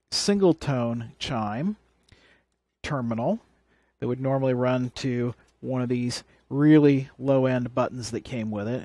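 A man's voice: mid-range voice, a little mellow, dry recording. A man presenting a hands-on electronics how-to, explaining things plainly and step by step. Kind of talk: hands-on tutorial demonstration.

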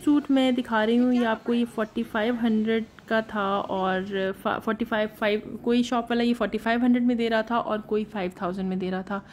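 A woman narrating a shopping vlog in a relaxed, chatty way, often pausing on filler sounds and slipping in English phrases. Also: a little high, a little hiss or hum. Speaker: shopping vlogger.

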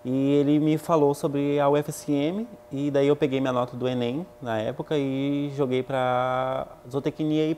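A man's voice: mid-range voice, dark tone, a little hiss or hum. A man in his twenties from northern Brazil, a student giving an earnest interview on a lapel mic indoors. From Acre, northern Brazil. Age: 20s. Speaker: animal science student. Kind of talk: interview testimony. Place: indoors by a painted wall.

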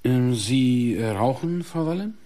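A man's voice: medium pitch, animated, measured pace, mellow, close to an everyday voice. An actor playing an insistent male police inspector who questions probingly in a radio crime play. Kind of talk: German radio play acting.

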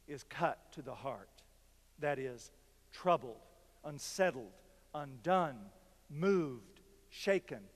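An older man delivers a sermon from the pulpit at a very unhurried pace, pausing between phrases. His voice sits a little high for a man, and it rings out with a long reverb through a large church sanctuary. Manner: slow, measured preaching with dramatic pauses. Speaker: pastor.

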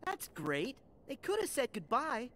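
A man's voice: extremely high pitch, huge pitch swings, measured pace, pushed and bright, slight background noise, heavily acted. An actor playing a cheerful, impulsive teenage boy hero in a video game, breezy and boyish. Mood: defensive. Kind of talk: video game cutscene acting.

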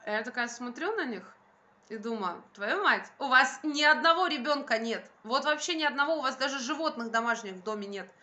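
A woman's voice: a little high, expressive, brisk, sharp tone, highs cut off. A young adult woman chatting casually to camera as a vlogger, speaking in an emphatic, colloquial, venting way. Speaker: vlogger, stay-at-home mother. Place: bright room at home by a window, indoors. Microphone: phone at arm's length.

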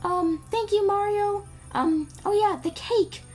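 A woman's voice: very high voice, slow, soft background music, pitched above the performer's own voice. A woman voicing a sweet, chatty, ditzy young video game princess in a girlish, rambling voice. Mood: flustered, apologetic.

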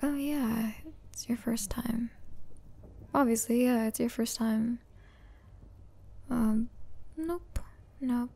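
A woman playing a hesitant, apologetic young student and caring sister in a breathy, whispery ASMR roleplay. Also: a little high, warm tone, full character voice. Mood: gentle, nervous. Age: young adult. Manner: halting, hesitant. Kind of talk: soft-spoken ASMR roleplay.

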